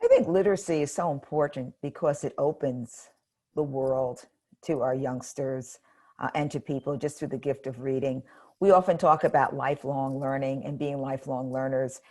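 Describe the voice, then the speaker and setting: A retired educator, an older woman, answering interview questions in a measured, earnest way. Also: a bass voice, warm tone.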